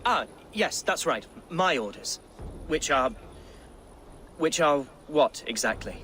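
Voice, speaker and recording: A man's voice: fairly high pitch, lively intonation, slow pace, pushed and bright, heavily acted. A man playing an earnest, unsure young Anglo-Saxon nobleman in a video game, somewhat hesitant in manner. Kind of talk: video game cutscene dialogue. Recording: clear outdoor ambient noise behind.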